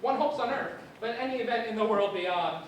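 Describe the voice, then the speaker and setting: A woman's voice: a little high, brisk, natural voice. An actress playing a fond and solemn elderly woman who speaks formally. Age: older. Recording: very echoey, distant mic, background noise.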